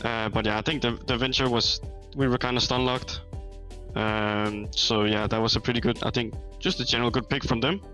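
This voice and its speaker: Bright-voiced pro player, halting answers. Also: male voice, medium pitch, steady tone, soft background music. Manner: halting, filler-heavy, candid. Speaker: pro player in post-match interview. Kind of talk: casual interview answer.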